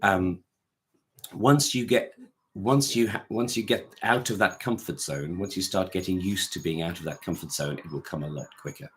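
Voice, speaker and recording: Male choir director, very low voice, loose rambling conversational delivery. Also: normal speed, a bit bright, a little hiss or hum. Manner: rambling, many restarts, sings short notes to demonstrate. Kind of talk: casual interview chat.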